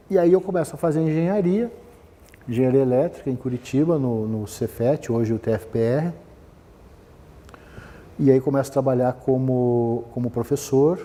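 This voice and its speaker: Man, middle-aged, very warm dark tone, hesitant restarts, long hall reverb. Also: mid-range voice. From southern Brazil. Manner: rambling, many fillers and restarts. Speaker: business manager giving a talk. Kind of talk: conversational talk, personal history.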